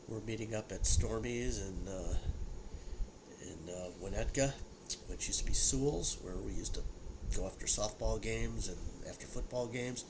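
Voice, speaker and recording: A man in his sixties, audibly congested and sniffing, rambles through a relaxed home whiskey tasting. His voice sits on the low side, and he trips over repeated words and fillers. A clear hiss runs underneath the recording. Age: 60s. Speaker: whiskey review vlogger. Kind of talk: casual solo tasting chat.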